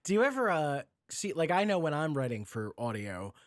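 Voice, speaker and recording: A man in a casual podcast chat as a guest, talking in an animated, self-mocking way with free swearing. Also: a little high, moderate pace, no room sound, clean studio sound. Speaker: podcast guest, audio drama writer and producer.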